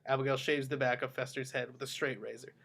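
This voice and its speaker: A man's voice: mid-range voice, flat delivery, quick pace, gravelly, fairly bright tone. A man reading screenplay action descriptions aloud as narrator in a table read.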